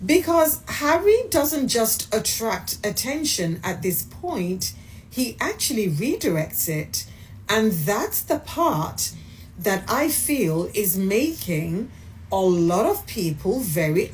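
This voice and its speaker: Slow, expressive woman giving opinions. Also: mid-range voice, slight background noise, poor audio. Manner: emphatic, rhetorical questions, deliberate delivery. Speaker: commentator in a played clip. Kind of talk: opinion monologue.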